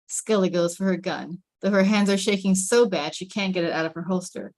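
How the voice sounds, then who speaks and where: A woman with a slightly low voice reads screenplay directions aloud. It is scripted narration, describing action and camera moves as part of a table read. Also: brisk, darkish tone. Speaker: stage directions reader.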